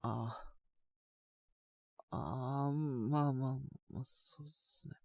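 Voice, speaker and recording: A man playing a sick, stubborn but shy teenage boy in an intimate Japanese audio drama, speaking in a halting, casual, congested mumble. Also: mid-range voice, steady tone, vocal fry, darkish tone, dry recording, clean studio sound. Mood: bashful, reassuring. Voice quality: congested cold-sick voice with coughs.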